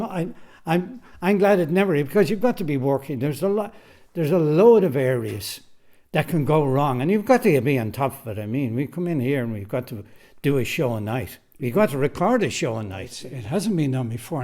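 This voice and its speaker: A man's voice: medium pitch, a little mellow. An Irish man who writes and directs radio drama, answering interview questions casually and emphatically, often repeating his phrases, in a recording studio.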